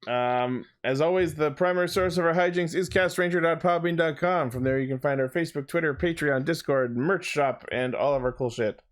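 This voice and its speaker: A man's voice: on the high side, fairly quick, few pauses. A man hosting a podcast in a casual, conversational style, chatting with co-hosts. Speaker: podcast host. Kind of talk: casual podcast sign-off banter.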